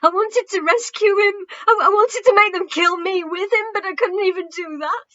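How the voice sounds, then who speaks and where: A woman voicing an anguished, guilt-ridden young man in an audiobook, halting and emotionally raw. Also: extremely high pitch, a bit bright, dull audio, pitched above the performer's own voice. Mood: anguished, breaking down. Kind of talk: audiobook character acting.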